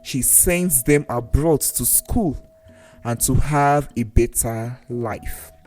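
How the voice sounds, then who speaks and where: A storyteller narrating a scripted folktale in a deliberate, dramatic way, with pauses between phrases. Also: medium pitch, darkish tone. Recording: voice over faint music. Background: faint background music.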